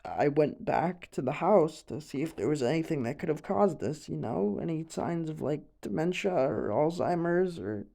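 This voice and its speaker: A man playing a distraught, grieving young man in an audio roleplay confession, stammering and trailing off as he haltingly tells an unbelievable story. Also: fairly high pitch, lively intonation, creaky, dark tone. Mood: nervous, composing himself.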